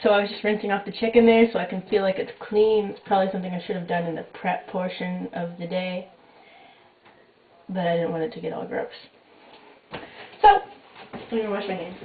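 A woman's voice: medium pitch, steady tone, brisk, pauses often. Young woman, wry home cooking host, tinny echoey camcorder sound with hiss. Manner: wry, self-mocking, offhand asides. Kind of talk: casual jokey cooking demo. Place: small home kitchen at night. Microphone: fixed camera mic across the kitchen.

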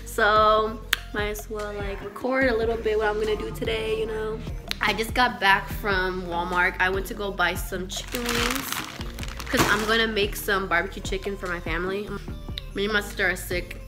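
A woman's voice: medium pitch, fairly even intonation, normal speed, music in the background. Nasal, sniffly young woman vlogging casually. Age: young adult. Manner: casual and swearing, mixes in Spanish. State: nasal from a cold. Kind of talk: casual vlog chat. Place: home living room, then a car at night. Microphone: phone held at arm's length.

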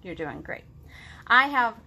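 A woman in her thirties hosting a children's storytime, reading and chatting to young kids in an expressive, sing-song, exaggerated way. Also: fairly high pitch, measured pace, slight background noise. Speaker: children's librarian, storytime host. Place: living room at home, indoors.

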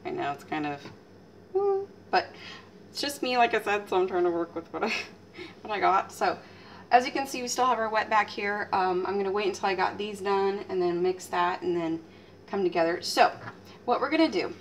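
A woman in her thirties, chatting casually as she demonstrates a recipe in her home kitchen, teasing herself and letting out lively exclamations. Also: mid-range voice, normal speed. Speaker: home cooking channel host. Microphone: fixed camera mic at a distance. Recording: distant camera mic with faint room noise.

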